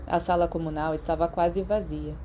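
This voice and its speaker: A woman's voice: fairly low pitch, steady tone, normal speed, warm tone. A woman with a Brazilian accent reading a scripted story as an audiobook narrator. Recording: clearly noisy background.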